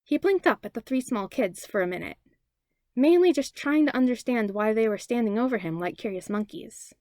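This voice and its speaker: A woman's voice: on the high side, lively intonation, normal speed, darkish tone, no room sound, clean studio sound. A woman reading a story aloud as scripted narration. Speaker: podfic reader.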